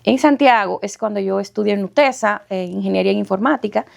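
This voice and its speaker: A middle-aged woman with a Dominican accent, the guest of an interview podcast, telling her life story in a lively way with frequent laughter. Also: mid-range voice, fast, creaky. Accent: Dominican Spanish. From Dominican Republic. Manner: warm, animated storyteller, laughs often.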